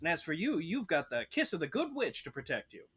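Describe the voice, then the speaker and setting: Tin woodman for audio drama, man acting: breathy, fairly high, stiff, earnest and measured. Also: wildly swooping pitch, fairly bright tone, heavily acted. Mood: brave. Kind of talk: table read of an audio drama.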